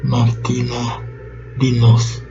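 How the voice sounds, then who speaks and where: A man hosting a horror radio show in a slow, solemn, ceremonious manner. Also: medium pitch, completely flat delivery, over loud music. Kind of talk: scripted radio drama hosting. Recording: dull lo-fi sound.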